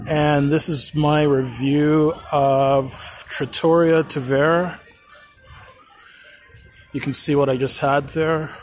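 Slow, halting man vlogging outdoors. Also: a little high, level tone, darkish tone, faint music behind. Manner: slow, halting, with many ums and uhs. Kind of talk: casual food review vlog. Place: outdoor pedestrian plaza downtown. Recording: outdoor street noise behind.

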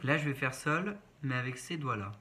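A man's voice: medium pitch, lively intonation, normal speed. A man giving a step-by-step piano tutorial in short instructional phrases, naming notes one by one. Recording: piano playing over the voice. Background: keyboard piano being played.

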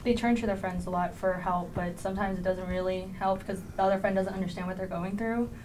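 A woman's voice: medium pitch, steady tone, fast talker. A young woman student actor speaking casually as an interview guest. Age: teens to young adult, high school student. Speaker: student cast member being interviewed. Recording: faint background room noise.

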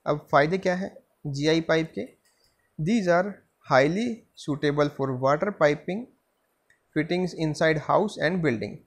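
A man giving a civil engineering slide lecture. He reads each line slowly, then explains it in Hindi, with frequent "theek hai" fillers. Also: a little high, breathy edge. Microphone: voice-over on screen-recorded slides.

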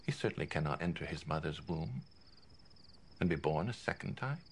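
A respectful religious elder in a biblical film, puzzled and questioning. His voice is deep, with clear night noise behind it. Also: animated, normal speed. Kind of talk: film drama acting.

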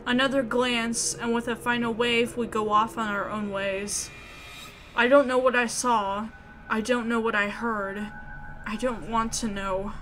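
A woman reading scripted story narration aloud in an expressive way. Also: on the high side, measured pace, slight background noise. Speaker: video game player reading narration aloud. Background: music.

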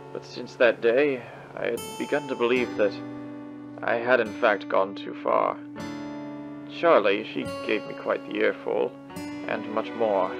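A man's voice: on the low side, vocal fry. An actor playing a proud, theatrical male demon with formal, elaborate diction and an old radio filter in a comic dub. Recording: muffled band-limited sound, music behind. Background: music.

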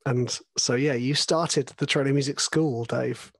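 A man hosting a podcast, hoarse from a cold, talking casually with rambling asides. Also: medium pitch, steady tone, a little slow, no echo. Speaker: podcast host.

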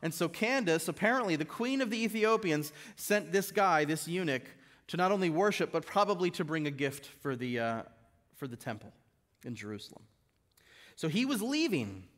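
Guest preacher, man in his thirties, high and lively delivery at a pulpit mic. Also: moderate pace. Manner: animated, rising to emphatic high peaks. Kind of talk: sermon with scripture reading. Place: church stage, mid-size indoor hall. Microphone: stand mic at a pulpit.

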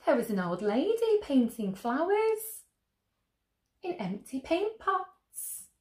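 A young woman reading a picture book aloud to children as a storyteller, expressively, with gentle questions to her listeners. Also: high voice, sing-song, slow pace. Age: young adult. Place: small room at home, indoors. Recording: roomy indoor echo.

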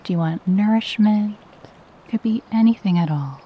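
A woman guiding a meditation in a soft, breathy voice, with a gentle, soothing and unhurried delivery. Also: medium pitch. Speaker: meditation guide. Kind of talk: guided meditation with spoken affirmations.

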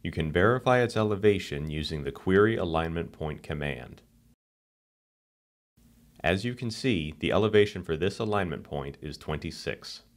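A man with a very deep voice narrates a software screencast. He reads a script in a calm, even, instructional way, walking through each step without hurry. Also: moderate pace.

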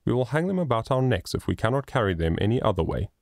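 A man's voice: mid-range voice, big pitch swings, quick pace, gravelly, no room sound, studio quality. A man reading a storybook, voicing a cheery, teasing father in a bluff, hearty tone.

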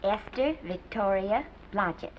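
Casting: actress for naive country ingenue, young, medium pitch with lively lilt, vintage Hollywood manner. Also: female voice, measured pace, lightly acted. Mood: shy, earnest. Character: earnest, naive young farm-girl aspiring actress. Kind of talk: 1930s Hollywood film acting. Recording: dull old film soundtrack, faint hiss.

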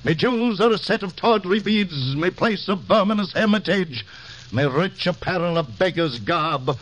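A man's voice: sits high, lively intonation, moderate pace, a bit bright, rough recording. An actor playing a deranged, tired old man who declaims like a fallen king in theatrical radio-drama style. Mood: anguished, grand. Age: older. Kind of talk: 1950s radio drama acting, declaiming Shakespeare. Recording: vintage radio recording, background music.

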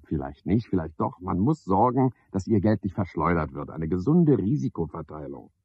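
An actor playing an eccentric, sardonic man in a radio play, rambling to himself and to unseen callers in a husky voice. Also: sits low, very animated, normal speed, no echo, dull audio, exaggerated voice. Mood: wry, pompous. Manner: sardonic, brooding, musing aloud in fragments.

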